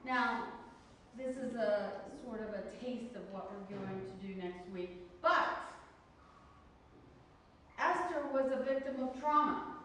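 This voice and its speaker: Echoey, high-pitched woman teaching a class. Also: fairly bright tone. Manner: didactic, emphatic, frequent rhetorical questions and check-ins. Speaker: counseling course instructor. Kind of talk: classroom lecture with questions to students. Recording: very echoey hall, distant mic, faint noise.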